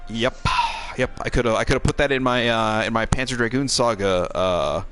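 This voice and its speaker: A man's voice: medium pitch, moderate pace, a bit bright, soft background music. A middle-aged man, a game streamer and co-host, chats casually while playing, in a rambling, wry, self-deprecating way.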